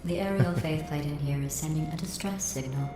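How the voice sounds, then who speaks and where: Woman doing a cold sarcastic ai overseer from a game, super low and flat-mean, deadpan digs, big echo, music under it. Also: brisk, lightly acted. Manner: deadpan, dry mocking insults. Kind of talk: video game voice acting. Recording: heavily echoed game audio with music.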